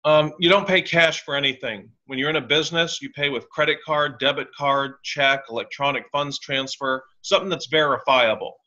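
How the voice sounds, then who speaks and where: A blunt, opinionated man speaks as a guest lawyer in a podcast interview. His voice is bright and forceful, and he comes across as wry. The recording sounds slightly dull and lo-fi. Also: a little high, fairly even intonation, normal speed, hardly any pauses. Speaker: tax and real estate lawyer, guest.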